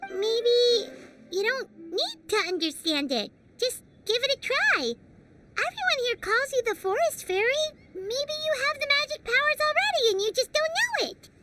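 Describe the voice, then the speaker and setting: A woman voicing a tiny, excitable floating companion in a video game, chirpy and eager. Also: very high voice, over loud music. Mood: upbeat. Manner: chirpy, eager, quick to cheer.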